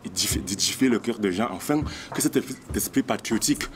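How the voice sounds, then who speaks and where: A man's voice: on the low side, animated, brisk, slight background noise. A man, a singer from Cameroon, answering interview questions earnestly with many hesitations.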